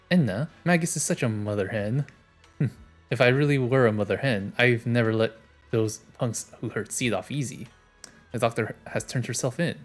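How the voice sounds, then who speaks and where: A man streaming a game, reading its dialogue aloud and adding casual commentary. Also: mid-range voice.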